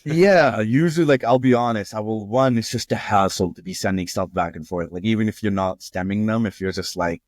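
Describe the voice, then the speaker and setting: A man's voice: lowish voice, a little fast, hardly any pauses, a little mellow, no room sound, clean studio sound. A young man from Jordan, a music producer guest, earnestly walking through his process in a casual interview.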